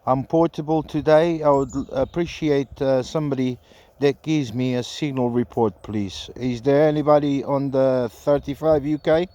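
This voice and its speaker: A man making halting, repetitive radio calls and casual commentary during an outdoor antenna test. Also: medium pitch, fairly even intonation, warm tone, muffled recording. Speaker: amateur CB radio operator testing an antenna. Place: outdoors in a park.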